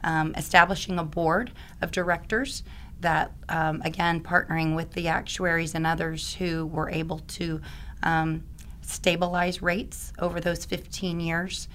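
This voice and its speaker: Woman in her forties, slightly raspy, low-pitched, upbeat interview guest. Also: little pitch movement, measured pace, fairly bright tone, slight background noise. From Oklahoma, US. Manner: enthusiastic, many ums. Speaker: guest, insurance risk advisor. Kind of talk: conversational interview.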